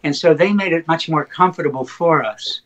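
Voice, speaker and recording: An older man, a poet whose first language is Slovak, telling stories in an interview with animated retellings. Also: a little high, fairly quick, dull audio. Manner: animated anecdotal storyteller, slips into excited retellings. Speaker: poet guest. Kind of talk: interview storytelling.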